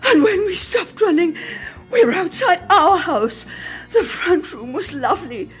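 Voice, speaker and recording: A woman giving a dramatic literary reading, measured and expressive, lingering on her phrases. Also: very high-pitched, soft background music. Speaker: dramatic reader.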